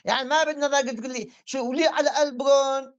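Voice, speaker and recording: A man's voice: very high voice, steady tone, fast talker, muffled recording. A man in a religious debate, asking pointed questions and explaining patiently.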